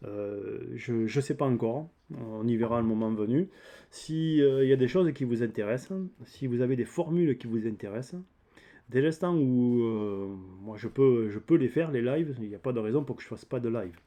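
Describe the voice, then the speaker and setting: Mellow-voiced southern French man, rambling. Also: medium pitch, moderate pace. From south of France. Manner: hesitant, rambling, explanatory. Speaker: DIY video channel host. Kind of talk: casual unscripted vlog talk.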